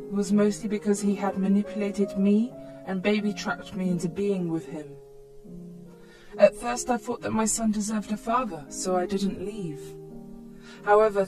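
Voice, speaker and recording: A woman's voice: mid-range voice, poor audio. A woman reading a scripted first-person story as narrator.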